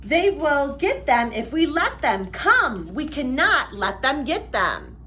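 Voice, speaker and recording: A young woman reading a children's picture book aloud like a teacher, expressive, sing-song and deliberate. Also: high-pitched. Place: classroom, indoors.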